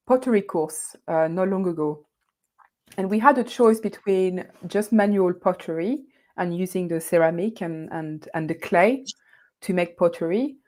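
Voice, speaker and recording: French-accented woman coach, slightly low voice, animated, laughs a lot, restarts phrases. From France. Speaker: guest coach. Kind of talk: conversational interview.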